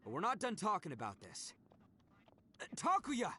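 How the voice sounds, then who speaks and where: A man's voice: high voice, huge pitch swings, moderate pace, bright, forceful tone, heavily acted. A man playing a brash, cocky young oni hero in a video game, with a boisterous, emphatic delivery. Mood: earnest, firm.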